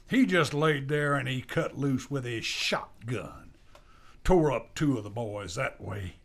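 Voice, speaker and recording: A man with an American accent playing a bitter, defiant captured outlaw in a western radio drama, talking in a rough, accusing frontier drawl. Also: mid-range voice, fairly bright tone.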